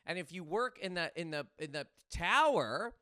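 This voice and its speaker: A man's voice: fairly high pitch, expressive, normal speed, bright, forceful tone, smooth, dry recording, clean studio sound. A man doing an exasperated, incredulous comedic rant to camera, emphatic and often stammering over repeated words. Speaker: comedian and host.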